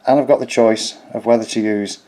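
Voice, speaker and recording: A man's voice: fairly low pitch, steady tone, brisk, dark tone. A man hosting a casual DIY electronics tutorial, explaining each step in a plain-spoken, informal way. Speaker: DIY electronics video host.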